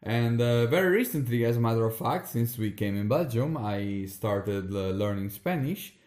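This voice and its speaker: A man's voice: medium pitch, expressive, normal speed, a little mellow. Young italian guy cohosting a podcast, friendly, lots of uhs, room echo. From northeast Italy. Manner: friendly, hesitant with many fillers. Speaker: podcast co-host. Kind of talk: casual chat. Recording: roomy echo.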